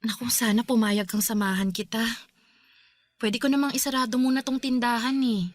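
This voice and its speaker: An actress playing a caring, anxious young girlfriend in a Tagalog radio drama, expressive and worried, with music behind her. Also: fairly high pitch, moderate pace, dry recording, lightly acted. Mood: concerned, tender. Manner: expressive, worried, pressing with questions. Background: background music.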